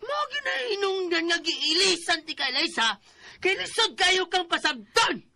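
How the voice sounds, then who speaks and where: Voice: very high voice, lively intonation, measured pace, pushed and bright, heavily acted. An actor playing a drunken, irritable, callous male partner, brusque and dismissive, in a radio drama with a Cebuano Filipino accent. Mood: dismissive, irritated.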